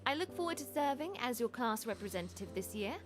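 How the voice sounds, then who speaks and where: Woman as a proper young female mage, fairly high, articulate, self-assured, expressive pitch, visual novel game, clear music. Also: quick pace, close to an everyday voice. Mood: formal, eager. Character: proper, poised, dutiful young princess-like mage.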